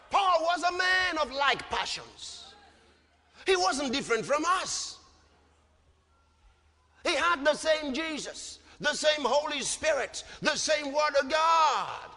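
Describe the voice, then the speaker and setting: A man's voice: very high voice, bright, forceful tone. A man preaching an impassioned sermon, emphatic and repetitive, punctuated with rhetorical questions. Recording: long hall reverb.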